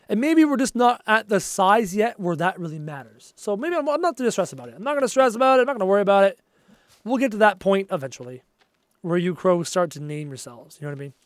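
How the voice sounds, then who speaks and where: A man's voice: high-pitched, no echo. A man streaming a game live, chatting casually with viewers and reading out their messages, his voice worn and tired. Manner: chatty, reads out viewer messages, easily excited. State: losing his voice, low on energy. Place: at his desk at home.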